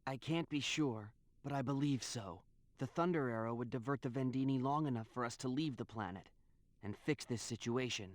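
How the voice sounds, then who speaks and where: A sincere young game hero played by a man, with a mid-pitched voice recorded close and dry. He is earnest, polite and a little troubled. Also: normal speed, no room sound, close to an everyday voice. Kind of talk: scripted video game voice acting.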